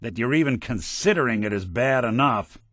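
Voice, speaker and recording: An actor playing a world-weary robot detective in a video game, gruff, wry and kindly, with old-fashioned hard-boiled slang. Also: male voice, mid-range voice, lively intonation, moderate pace, a bit bright, no echo, studio quality. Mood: encouraging. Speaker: video game companion character. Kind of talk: noir detective game dialogue.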